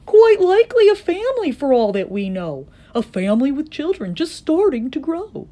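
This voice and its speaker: A gentle, protective male elephant from a children's storybook, played by a woman. The voice is earnest and emphatic, often lifting high with wide swings of pitch. He pleads sincerely for those smaller than himself. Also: very animated, normal speed. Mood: earnest pleading.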